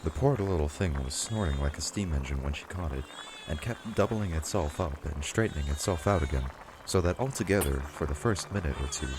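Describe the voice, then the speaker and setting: A man with an extremely low voice narrates a storybook from a script. There is clear noise in the background behind his reading. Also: animated, brisk.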